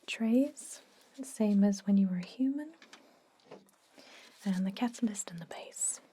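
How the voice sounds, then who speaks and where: A woman playing a calm, attentive vampire dentist in an ASMR roleplay, speaking in a soft breathy whisper with gentle explanations. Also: medium pitch. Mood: calm, professional. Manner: slow, gentle, explanatory with pauses. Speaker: ASMR performer.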